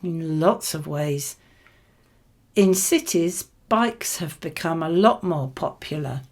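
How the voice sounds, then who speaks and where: A woman reading scripted model answers for a spoken exam, with measured, clearly phrased delivery. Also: sits low, animated, a little mellow.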